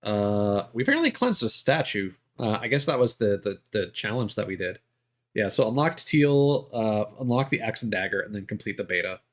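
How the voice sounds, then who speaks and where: A man's voice: fairly low pitch, sing-song, moderate pace. A young man streaming a game, chatting casually with lots of fillers. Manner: rambling, many fillers, reads item text aloud. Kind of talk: casual gameplay commentary.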